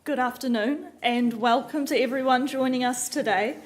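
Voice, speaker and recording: A woman's voice: sits high, normal speed. Composed New Zealand woman, formal speech. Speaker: doctoral student giving a speech.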